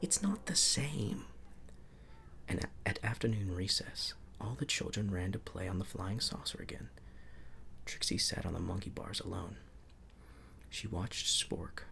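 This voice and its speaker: A man reading a bedtime story in a hushed, soothing ASMR narration. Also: sits low, creaky. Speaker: storybook reader.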